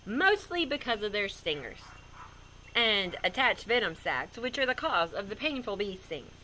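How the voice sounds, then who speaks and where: A reluctant, easily scared male buddy from a picture book, acted out by a woman. The voice is bright and animated, protesting expressively, with some noise in the background. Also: medium pitch, moderate pace, low-quality recording. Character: reluctant, bee-fearing male best buddy in a storybook.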